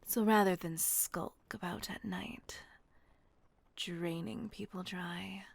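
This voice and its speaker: A woman voicing a gentle, possessive female vampire mistress in a whispered audio roleplay, slow and coaxing. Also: lowish voice, steady tone, dry recording, heavily acted. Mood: soothing whisper. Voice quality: breathy whisper. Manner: slow, coaxing, softly reassuring.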